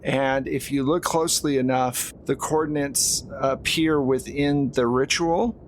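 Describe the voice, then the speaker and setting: A man with a mid-pitched voice runs a tabletop horror game as game master. He explains the scene conversationally, with clear noise behind him. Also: steady tone, unhurried, rough recording. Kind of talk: improvised tabletop game narration.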